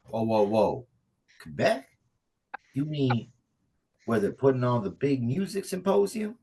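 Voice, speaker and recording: A man's voice: medium pitch, big pitch swings, unhurried, mellow, slight background noise. A man playing a casual, foul-mouthed, gullible guy fishing for news in a comedy table read. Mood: exasperated.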